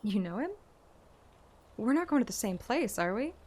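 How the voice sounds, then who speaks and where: A woman's voice: fairly high pitch, normal speed, a little hiss or hum. An actress playing a playful, plucky young woman hunter, narrating and voicing a first-person game story expressively. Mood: casual, curious. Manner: expressive, teasing. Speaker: player-character narrator. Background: background music.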